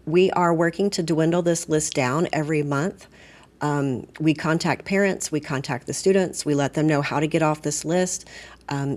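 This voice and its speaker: A woman explaining software step by step in a conversational way, like a staff trainer walking through a screen. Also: low voice. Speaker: school administrator training staff. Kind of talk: informal software tutorial, step-by-step screen walkthrough.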